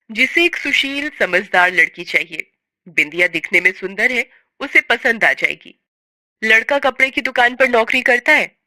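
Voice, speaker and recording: A woman's voice: medium pitch, sharp tone, no echo. A woman voicing a chatty, practical matchmaking auntie in a family drama story, reassuring and persuasive.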